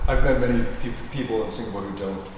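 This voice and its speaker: A man hosting a conversational interview, speaking haltingly as he searches for his words. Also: medium pitch, darkish tone. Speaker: show host and life coach. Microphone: distant from the speaker. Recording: very echoey hall, distant mic, faint noise.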